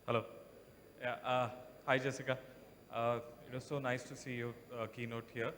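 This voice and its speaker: A man's voice: medium pitch, little pitch movement, moderate pace, a bit bright. A man in the audience asking a long, polite question with many hesitations and fillers. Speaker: audience member, education program organizer. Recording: reverberant hall, faint noise.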